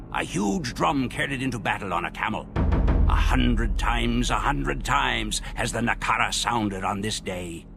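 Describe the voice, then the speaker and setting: A man playing a proud Mongol warrior who solemnly narrates grand conquests in a measured, theatrical way for a game. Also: medium pitch, gravelly. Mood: proud, martial. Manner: measured, theatrical storytelling delivery. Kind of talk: dramatic scripted narration.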